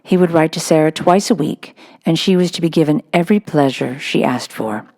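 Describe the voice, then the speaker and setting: A woman's voice: low-pitched, fairly quick, darkish tone. A woman reading a children's novel aloud as scripted narration. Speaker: storybook reader.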